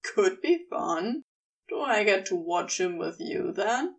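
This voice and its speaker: A woman voicing a laid-back, sceptical husband in an audiobook-style story, with a slow, dry drawl. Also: mid-range voice, sing-song, recorded from a distance, voice pushed down. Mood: teasing, flirtatious.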